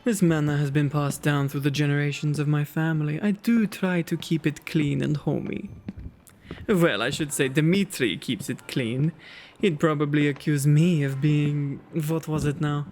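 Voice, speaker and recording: A man's voice: a little high, fairly even intonation, moderate pace, darkish tone, slight background noise, close to an everyday voice. A man playing a kind, genteel vampire host in an ASMR roleplay, with doting, courteous, old-fashioned phrasing. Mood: genial.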